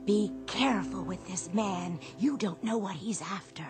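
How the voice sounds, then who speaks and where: An actress playing an anxious, distrustful shopkeeper's wife in a radio drama, hesitant and fretful. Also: female voice, medium pitch, a little slow, over music, natural voice. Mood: disbelieving, wary.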